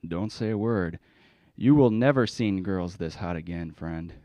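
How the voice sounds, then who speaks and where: A man's voice: deep voice, measured pace, warm tone, no room sound. A man voicing a pushy, oddly friendly spam salesman from the beyond, speaking stilted broken English in a comedy sketch. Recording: dull lo-fi recording.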